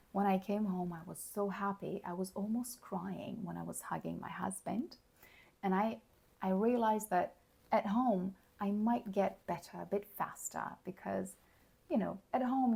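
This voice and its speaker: A hoarse woman giving a slow, clear English lesson, explaining words plainly and checking in with the listener. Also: mid-range voice, a little mellow. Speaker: English teacher. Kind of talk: slow, clear teaching monologue.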